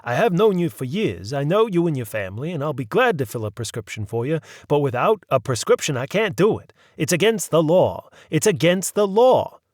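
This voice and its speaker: Storybook reading: man playing a patient, firm old druggist, raised louder than the narration, lively pitch. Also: a little fast, few pauses, dry recording, clean studio sound.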